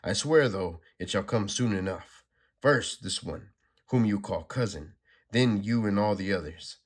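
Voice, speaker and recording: A man's voice: on the low side, a little slow. A man reading a comic aloud, voicing a cold, menacing cosmic villain with pompous, archaic threats.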